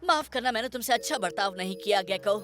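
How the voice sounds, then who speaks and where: A woman voicing an eager, friendly young superhero boy in a dubbed children's cartoon, lively and earnest. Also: medium pitch, quick pace, natural voice. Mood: apologetic. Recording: voice over loud music.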